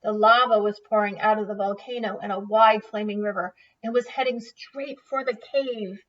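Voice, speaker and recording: A woman's voice: mid-range voice, fairly even intonation, moderate pace. A woman teacher reading a children's picture book aloud expressively, in a distant, slightly muffled recording.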